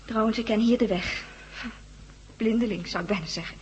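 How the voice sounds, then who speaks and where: Actress doing a high plaintive nurse who's gone blind, brave but upset, old 60s radio play, slight hiss. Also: female voice, fairly quick, lo-fi sound, close to an everyday voice. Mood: resigned, tender. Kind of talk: 1960s radio drama acting. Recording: 1969 radio recording, faint noise.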